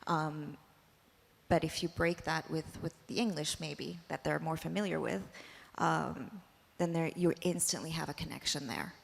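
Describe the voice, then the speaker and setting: A woman's voice: low-pitched, normal speed, gravelly, husky. A woman filmmaker on a panel, talking hesitantly with frequent fillers. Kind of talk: panel discussion answer. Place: museum panel stage. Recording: long hall reverb.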